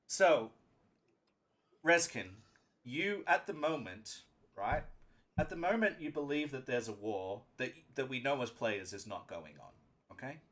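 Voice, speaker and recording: A man's voice: mid-range voice, expressive, a little slow, a bit bright. A man narrating a live tabletop roleplaying game as game master, speaking emphatically and often checking in with his players.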